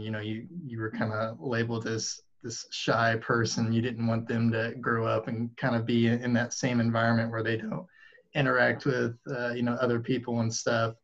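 A man's voice: medium pitch, a bit bright, dull audio. A man co-hosting an interview, asking rambling questions full of restarts and you-knows. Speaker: podcast co-host.

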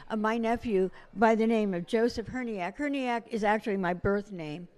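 A woman's voice: mid-range voice, normal speed, a little mellow. An older woman from Ukraine, a journalist guest, telling family history in an earnest, storytelling way with many fillers. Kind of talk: casual interview chat.

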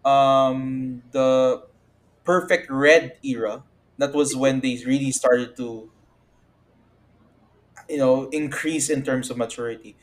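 Podcast co-host: young man, mid-range voice, unhurried and halting, measured, casual analysis. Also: medium pitch, steady tone. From Philippines.

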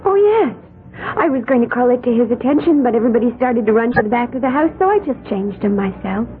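A woman's voice: fairly high pitch, lively intonation, quick pace, close to an everyday voice. An actress playing an odd young woman who speaks in a placid, matter-of-fact, faintly dreamy way, in a 1970s radio drama. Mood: calm. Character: serene, odd young woman with a strange secret. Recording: thin, phone-like old radio recording.

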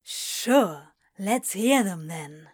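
A woman's voice: medium pitch, animated, very slow, a little mellow, slightly rough, exaggerated voice. A woman playing a sly male serpent under a curse in a podfic drama, speaking in a theatrical, grandly formal way. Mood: curt, dry. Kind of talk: podfic audio drama acting.

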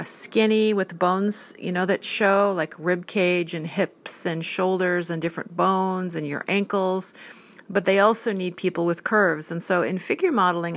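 Gravelly, earnest woman in her fifties. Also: lowish voice. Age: 50s. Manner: earnest, rambling, lists things one by one. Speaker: vlogger and art model. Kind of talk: casual monologue on health.

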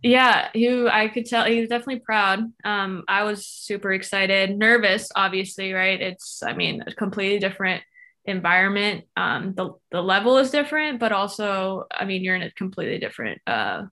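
A woman's voice: mid-range voice, moderate pace, sharp tone. A young woman from Florida, a former soccer player, telling her story in a chatty, filler-heavy way as a guest. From Florida, USA. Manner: chatty, lots of fillers and likes, laughs easily. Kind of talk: casual interview chat.

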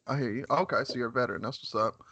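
A man in his mid-thirties hosts a podcast in a nervous, halting way, padding his talk with casual fillers. His voice sits at an ordinary pitch, and the recording is dry and close. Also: sharp tone, slightly rough, no echo, muffled recording. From West Virginia, USA. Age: 30s. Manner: nervous, halting, lots of "man" and "you know what I'm saying". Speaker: podcast host. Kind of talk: casual interview.

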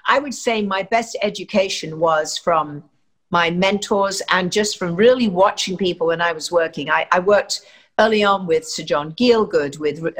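An older woman from England, an actress, giving chatty, rambling interview answers full of fillers and restarts. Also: on the low side.

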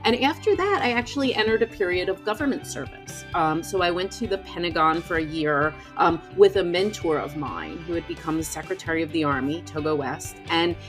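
A woman's voice: fairly low pitch, fairly quick, hardly any pauses, soft background music. An American woman, a professor and author, speaking as a podcast guest in a chatty, self-deprecating storytelling style. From United States. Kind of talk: conversational personal storytelling in an interview.

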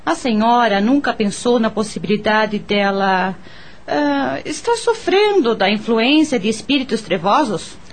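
An actress playing a discreet, kindly neighbour in a radio soap opera, speaking in a gentle, persuasive way. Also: female voice, on the high side, animated, a little slow. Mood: gently insistent. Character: discreet, kindly, well-meaning neighbour woman.

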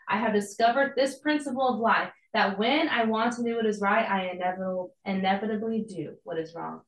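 A young woman giving a casual, encouraging devotional sermon, her voice a little hoarse as she recovers. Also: medium pitch, echoey room, low-quality recording. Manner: encouraging, conversational preaching with casual asides.

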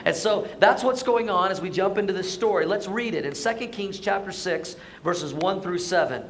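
This is a man giving a Sunday sermon to his congregation. His voice is hoarse and sits high, and he keeps the tone chatty. He often asks his listeners to answer back. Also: moderate pace, a little hiss or hum. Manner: conversational, asks the congregation to respond. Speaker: pastor. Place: church sanctuary.

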